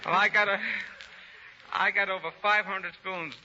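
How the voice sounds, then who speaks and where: A man, an amateur contestant on a 1930s radio show, talking in a halting, boastful way. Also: sits high, fairly even intonation, bright, forceful tone. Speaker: amateur novelty act contestant. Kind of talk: 1930s live radio interview. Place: stage of a live radio show. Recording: muffled, noisy 1930s radio broadcast.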